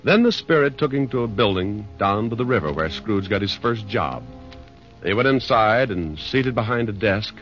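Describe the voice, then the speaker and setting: A man tells a Christmas story in a lowish, very lively voice. His manner is wry, folksy and slangy, over clear music on a dull 1940s radio recording. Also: normal speed. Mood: warm, storytelling. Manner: folksy, colloquial, animated. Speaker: narrator.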